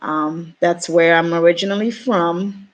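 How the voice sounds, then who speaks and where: Slow-talking woman in her forties. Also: fairly low pitch, steady tone. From Ghana. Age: 40s. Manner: slow, hesitant, many pauses and fillers. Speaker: YouTuber. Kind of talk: casual storytelling vlog.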